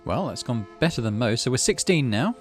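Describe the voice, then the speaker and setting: A man hosting a gaming video, casually musing to himself in a low-key way and reading story text aloud as he plays. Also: very animated, brisk, faint music behind.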